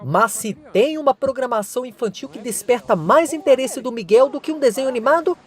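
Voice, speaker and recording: A Brazilian woman reporter reading scripted news narration in an expressive, announcing way. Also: sits high, fairly quick. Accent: Brazilian Portuguese.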